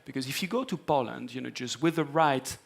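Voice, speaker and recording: Creaky-voiced man on a panel. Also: mid-range voice, brisk. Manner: filler-heavy, repeats "you know, just". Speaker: panelist, data center energy executive. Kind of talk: panel discussion, unscripted argument. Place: conference stage panel.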